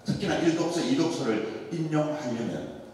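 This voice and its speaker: A man's voice: a little high, normal speed, a little hiss or hum. Male priest, Daegu accent, joking sermon storyteller, long church reverb. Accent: Daegu dialect. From Daegu, South Korea. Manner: animated, joking storyteller with rhetorical questions. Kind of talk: Lenten sermon lecture. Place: large church hall. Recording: echoey church hall, mic far off.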